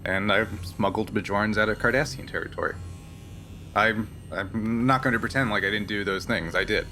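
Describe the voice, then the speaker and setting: Man acting a weary male smuggler, tabletop game, frank, halting, earnest, bright tone, faint noise. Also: fairly low pitch, steady tone. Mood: frank, guarded.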